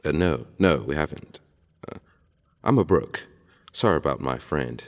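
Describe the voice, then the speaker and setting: A man playing a cocky, teasing but friendly alien paladin warrior in an audio drama, with a deep, gravelly voice and terse manner. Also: lively intonation, normal speed. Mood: friendly, confident. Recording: reverberant hall-like sound.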